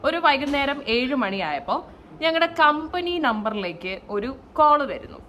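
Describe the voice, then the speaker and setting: A woman telling a story in a casual, animated vlog style, mixing in English phrases. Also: high-pitched, a little slow. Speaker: vlogger and saree store owner. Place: at home, indoors. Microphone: no external microphone, camera's own mic. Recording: faint noise, no microphone.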